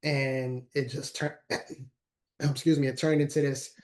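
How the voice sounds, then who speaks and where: A man's voice: mid-range voice, level tone, slow pace, darkish tone. A man in his forties, a guest speaker telling his story in an earnest, repetitive way in a casual interview. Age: 40s. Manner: earnest, repeats words while talking. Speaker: guest, motivational speaker and author.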